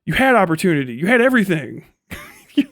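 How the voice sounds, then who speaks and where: An American man livestreaming a casual, profane, blunt and rambling rant in an animated manner. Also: sits high, quick pace, no echo, studio quality. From United States. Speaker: livestream host. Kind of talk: casual ranting livestream commentary.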